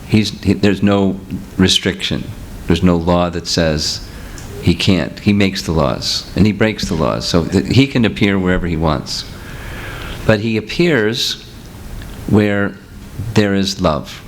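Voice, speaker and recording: A low-pitched man teaching a seminar, speaking patiently and working through examples as he answers questions from the audience. His voice comes through a handheld microphone in a meeting room. A faint hiss of room noise sits under the talk. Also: animated, slow pace. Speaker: spiritual teacher.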